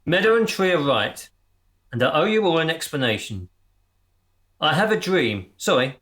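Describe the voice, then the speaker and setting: An actor playing a grandiose leader of an amateur crew, speechifying with pompous passion in a radio comedy drama. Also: male voice, on the high side, very animated, moderate pace, fairly bright tone. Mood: solemn, confessional.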